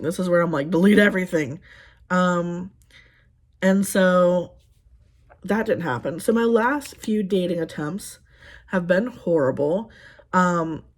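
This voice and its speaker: A woman in her thirties chatting casually while doing her makeup, telling rambling, sarcastic dating stories with lots of ums. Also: fairly low pitch. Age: 30s. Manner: sarcastic, exasperated storytelling. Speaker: beauty vlogger. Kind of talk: casual get-ready-with-me chat.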